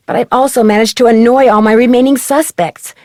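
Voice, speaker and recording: A woman acts a young female amateur detective in a game. Her voice is somewhat high and the recording is very dry. She sounds earnest and inquisitive, laying out her case. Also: fairly even intonation, a little fast, darkish tone, no echo, close to an everyday voice. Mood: explaining, earnest. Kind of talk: scripted game dialogue.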